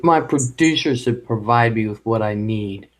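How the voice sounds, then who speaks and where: A man hosting a casual live stream chat, rambling and halting, with lots of ums and restarts. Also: fairly low pitch. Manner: halting and rambling, with many fillers. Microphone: home microphone.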